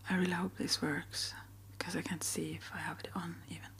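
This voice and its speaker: A woman speaking in a gentle, hesitant, rambling way, as an ASMR creator chatting to her viewers. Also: fairly low pitch, flat delivery, a little fast, creaky, slight background noise. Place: small upstairs apartment.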